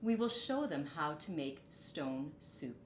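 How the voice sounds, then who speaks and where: A woman voicing a wise, kindly old male monk from a storybook in a measured, gently emphatic way. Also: low-pitched, sing-song, full character voice. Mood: gently instructive. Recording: roomy echo, faint background noise.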